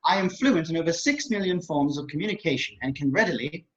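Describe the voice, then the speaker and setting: A man's voice: fairly high pitch, animated, quick pace, fairly bright tone. A man acts an anxious protocol droid in an amateur sci-fi table read. The manner is prim, formal and fretful. The audio is dull, like a video call. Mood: prim, polite. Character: fussy, anxious, polite male protocol droid.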